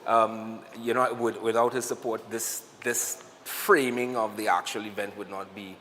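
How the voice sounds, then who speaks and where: A man with a Trinidadian accent, a guest on a morning TV show, telling animated stories and acting out what people said to him. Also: fairly low pitch, normal speed, long reverb tail, slight background noise. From Trinidad and Tobago. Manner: animated storyteller, acts out quotes. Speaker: guest, cyclist and singer. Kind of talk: casual interview chat.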